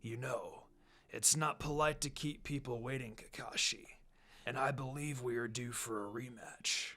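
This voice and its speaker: A reader voicing a menacing male assassin who taunts in a hushed, lowered voice. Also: a little slow. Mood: taunting menace.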